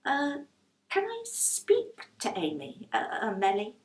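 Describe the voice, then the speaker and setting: Earnest young girl, acted by a woman, anxious, determined, raised pitch, storybook reading in an echoey room. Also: wildly swooping pitch, slow, a little mellow, distant mic, full character voice.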